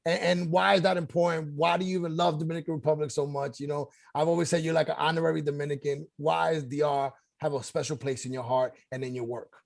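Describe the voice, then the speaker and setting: A man from Washington Heights with a gravelly voice. His casual, filler-heavy speech stays a little high, with plenty of "you know"s. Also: flat delivery, fast talker, few pauses. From Washington Heights, New York. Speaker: moderator. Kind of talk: casual interview chat.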